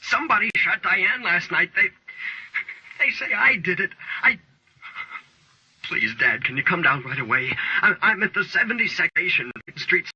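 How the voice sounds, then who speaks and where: A man's voice: sits high, animated, normal speed, bright, forceful tone, husky, dry recording, heavily acted. A man acting a frantic, pleading younger American man in an old-time radio drama, with a strained, urgent delivery. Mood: distraught. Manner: urgent, distraught. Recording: dull lo-fi old radio telephone sound.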